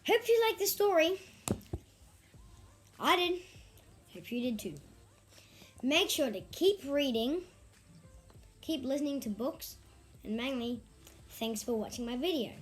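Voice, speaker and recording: A woman reading a children's story aloud in an expressive, theatrical way with drawn-out phrasing. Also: high voice. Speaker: storybook reader.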